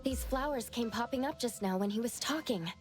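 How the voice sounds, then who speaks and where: An actress playing an earnest, empathetic young woman in an anime-style video game, explaining gently and persuasively. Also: on the high side, fairly quick, natural voice. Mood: thoughtful, hopeful. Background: faint background music.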